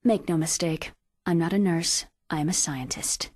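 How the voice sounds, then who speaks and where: A fairly low-pitched woman scientist in a game cutscene. She is composed and proud, explaining with clinical precision in a close, dry recording. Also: moderate pace, darkish tone, no echo. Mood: cool, clinical. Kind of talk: video game cutscene acting.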